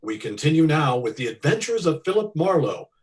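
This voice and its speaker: A man's voice: on the high side, very animated, brisk. A man announcing in 1940s radio style, reading sponsor copy with an emphatic sales delivery.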